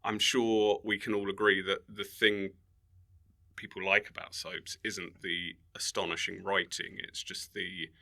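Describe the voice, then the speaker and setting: Bright-voiced British man chatting drily. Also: sits low, flat delivery, unhurried, creaky. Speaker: podcast guest, comedian and filmmaker. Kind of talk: casual comedic chat.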